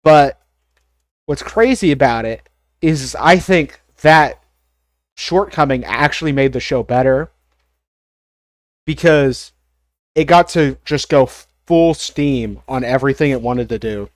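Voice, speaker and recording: A congested man chatting on a live stream, opinionated and loose, with plenty of ums and likes. His voice sits at an average pitch. The sound is dry and close with no room echo. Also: slow pace, long pauses. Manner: rambling, opinionated, frequent fillers and swearing. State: congested, coughing. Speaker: streamer ranking cartoons. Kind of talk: casual live-stream commentary.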